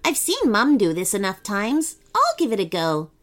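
A woman's voice: mid-range voice, huge pitch swings, moderate pace. A woman voicing a small, eager, friendly gingerbread boy in a children's story.

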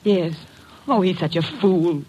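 An actress in a classic radio drama playing an anguished, pleading young secretary with a faltering, emotional delivery. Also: female voice, lowish voice, slow pace, dark tone, breathy edge, muffled recording. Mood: anxious, halting.

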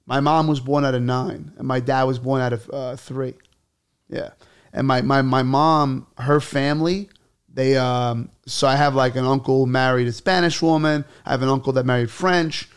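A man's voice: medium pitch, clear, resonant tone. A young man, a comedian, telling stories in casual podcast chat and slipping into put-on accents. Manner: animated, rapid switches into put-on accents. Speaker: comedian guest.

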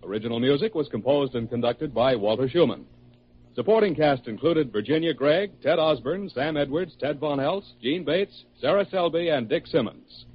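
A man's voice: on the high side, lively intonation, normal speed, husky. An American man announcing from a script in a formal, polished 1940s radio style. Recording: dull old radio broadcast recording.

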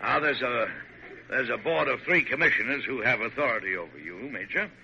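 Animated, forceful American president. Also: male voice, medium pitch, a little fast, creaky, exaggerated voice. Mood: patient. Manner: blustery, emphatic, patient then exasperated. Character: exasperated but genial American president, dignified man in charge. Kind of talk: classic radio drama acting. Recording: noisy, muffled old radio recording.

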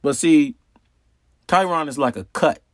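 A man's voice: fairly high pitch, a little slow, dry recording, clean studio sound. A man hosting an astrology talk in a casual, conversational way, repeating phrases and asking rhetorical questions. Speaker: astrology channel host. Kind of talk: casual explanatory monologue.

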